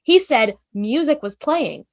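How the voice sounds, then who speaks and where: A woman's voice: a little high, sing-song, slow pace, gravelly, dry recording, studio quality, heavily acted. A woman in an online table read playing an alert, feisty teenage girl over a video call. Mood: urgent, hushed. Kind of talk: fan table read acting.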